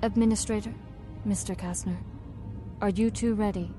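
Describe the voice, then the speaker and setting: A woman's voice: medium pitch, steady tone, moderate pace, natural voice. An actress playing a businesslike woman medical technician in a fan audio drama, explaining a procedure precisely. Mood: calm. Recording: music playing underneath.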